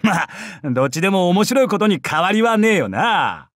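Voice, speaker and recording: Brash, swinging-pitched samurai brute. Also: male voice, big pitch swings, moderate pace, fairly bright tone, no echo, clean studio sound. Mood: casual, cocky. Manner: rough, swaggering delinquent-like speech. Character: brash, bloodthirsty, boisterous young male samurai warrior. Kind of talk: Japanese mobile game character voice lines.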